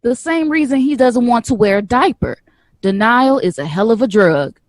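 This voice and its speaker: A woman's voice: on the high side, lively intonation, normal speed, no room sound, natural voice. A woman playing a sassy, teasing nurse in an audio drama, bantering in casual slang. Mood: teasing, playful. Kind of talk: naturalistic audio drama acting.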